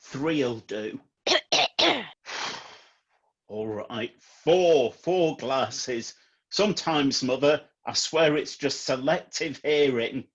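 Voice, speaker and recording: A man's voice: medium pitch, very slow, pauses often, husky, muffled recording. An actor playing a pompous, exasperated businessman and long-suffering son in a comedy radio play. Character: exasperated, self-important businessman son of deaf mother.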